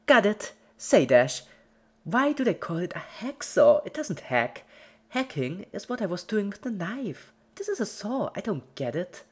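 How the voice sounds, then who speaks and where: Crazy cheerful cartoon pony villain, super high bubbly voice, chatty n scolding like a brat. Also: female voice, big pitch swings, unhurried, breathy edge. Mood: chirpy, puzzled.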